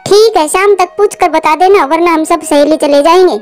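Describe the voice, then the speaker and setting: A woman's voice: very high voice, moderate pace, darkish tone, faint music behind. A woman voicing a bossy, teasing young girl bird in a cartoon. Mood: bossy, excited.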